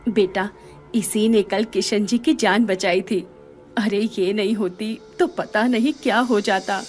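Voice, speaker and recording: A woman's voice: mid-range voice, steady tone, a little slow, darkish tone, faint music behind, natural voice. An actress playing a grateful, motherly middle-aged wife in an audio drama, affectionate and emotional. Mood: pleased. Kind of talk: Hindi audio drama acting.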